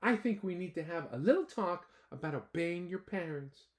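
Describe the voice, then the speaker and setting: A man's voice: high-pitched, animated, moderate pace, voice pushed up. A man voicing a firm, scolding mother in a children's storybook.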